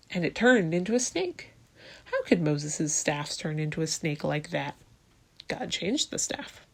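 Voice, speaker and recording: A woman reading a Bible story aloud to children in a deliberate way, posing questions to young listeners as she goes. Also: deep voice, a bit bright. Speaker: children's storytime reader at a church.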